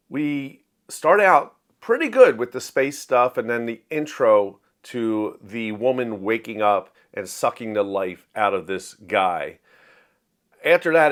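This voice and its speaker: A man's voice: medium pitch, a bit bright. Plainspoken man reviewing books. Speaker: host of a book review show. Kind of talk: casual scripted-outline book review to camera.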